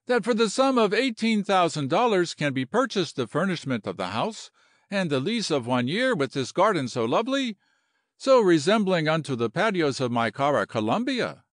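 A man voicing an excitable, romantic Colombian general with a Spanish accent and flowery broken English. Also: fairly high pitch, moderate pace, no echo, clean studio sound, pitched above the performer's own voice. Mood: rapturous.

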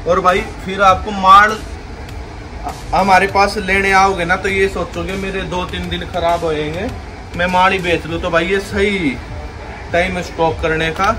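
A young man giving a repetitive, pushy sales pitch for wholesale coats in a big indoor warehouse, filmed on a handheld phone. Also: level tone, unhurried, noisy background. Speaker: wholesale clothing seller. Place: large indoor clothing warehouse.

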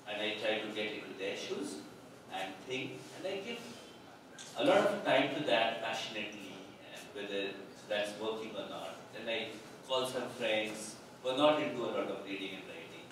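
A man's voice: fairly low pitch, moderate pace, recorded from a distance, noisy background. A male author whose first language is Hindi, chatting and joking on a literature festival panel. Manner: chatty, jokes with the audience. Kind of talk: panel discussion. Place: large hall on a festival stage. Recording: echoey hall, mic from afar.

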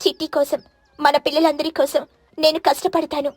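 Woman as an earnest, high-voiced mother sparrow. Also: level tone, normal speed, no echo, close to an everyday voice. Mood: earnest, determined. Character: responsible, cooperative mother sparrow. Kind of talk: animated children's moral story.

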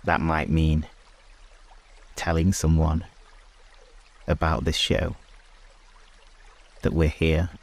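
A man hosting a sleep meditation, speaking in short, hushed phrases with long pauses between them, as in guided prayer. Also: rumbling low, completely flat delivery, gravelly.

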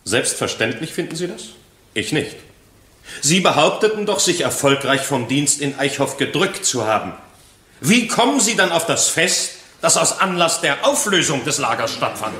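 A man's voice: fairly high pitch, very animated, normal speed, sharp tone. An actor playing a sceptical male defence lawyer in a radio crime drama, formal and challenging. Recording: roomy, echoing courtroom sound.

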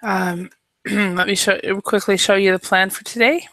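A woman's voice: mid-range voice, steady tone, a little slow, fairly bright tone, no room sound. A young American woman from Idaho teaching an online English class, chatty and friendly, with lots of ums and uhs. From Idaho, USA. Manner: chatty, friendly, with many um and uh fillers. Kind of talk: casual online language lesson. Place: small room at home. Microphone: headset mic.

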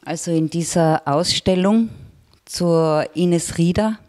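A woman in her fifties, a composer speaking in a slow, relaxed way about her work into a handheld mic. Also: lowish voice. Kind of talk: talking about her artwork. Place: gallery room.

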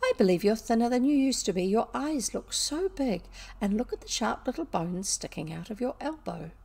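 A woman's voice: on the high side, animated, moderate pace, gravelly, mellow, husky, voice pushed up, heavily acted. A woman voicing a timid, admiring young schoolgirl in a storybook, hesitant and easily frightened.

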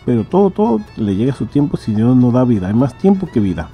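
Guy hosting a channel, talks really fast, muffled dark sound, faint music behind. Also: mid-range voice, sing-song. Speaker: channel host. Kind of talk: casual spoken introduction.

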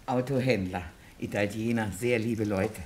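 A woman's voice: very deep voice, unhurried, a little mellow. Casting: hospitable, talkative female concierge in radio crime play, slightly hesitant; faint noise behind. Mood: obliging, chatty. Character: chatty, hospitable apartment building concierge. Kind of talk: German radio crime drama acting.

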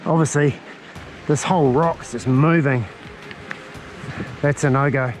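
A man's voice: a little high, steady tone, unhurried, over music. A man, filming himself as he scrambles along sea cliffs and talks through each move, a little short of breath. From New Zealand. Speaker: outdoor adventure vlogger. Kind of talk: self-filmed commentary while climbing. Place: outdoors on a windy coastal cliff. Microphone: head-mounted action camera. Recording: wind noise on an action camera.

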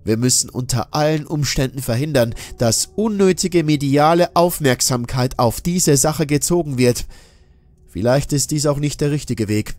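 A man voicing a grave expedition captain in a horror audiobook, speaking in a solemn, measured, emphatic way. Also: fairly high pitch, darkish tone, dry recording, natural voice. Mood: grave warning. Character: grave expedition captain warning his crew of danger.

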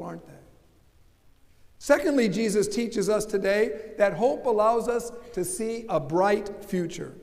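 Older pastor preaching slowly in a reverberant church. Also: male voice, high-pitched, darkish tone. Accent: American. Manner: emphatic preaching with rhetorical questions. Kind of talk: sermon. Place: large church sanctuary.

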